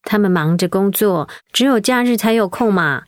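A woman's voice: medium pitch, normal speed, no room sound, clean studio sound, close to an everyday voice. An actress playing a kind, patient middle-aged mother who tells her daughter a family story and looks after an elderly aunt. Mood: caring. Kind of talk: radio drama acting.

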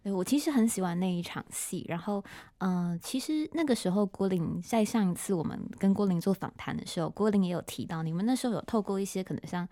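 A woman's voice: medium pitch, fast talker, warm tone, no echo. A woman with a Taiwanese Mandarin accent hosting a podcast interview in a casual, giggly, informal way. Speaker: podcast host.

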